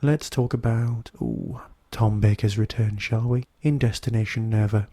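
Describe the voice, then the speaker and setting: A man hosting a podcast alone, talking to listeners in a reviewing style, his voice hoarse from a throat infection. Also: on the low side, steady tone, moderate pace, background noise. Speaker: podcast host. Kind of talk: solo review talk to listeners.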